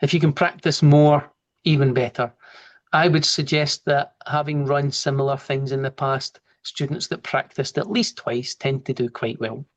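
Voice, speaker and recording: A man's voice: fairly high pitch, moderate pace. Scottish man with a broad Glasgow accent. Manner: relaxed, informal, talks through steps as he works. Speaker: software trainer. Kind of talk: live online training, explaining and answering questions.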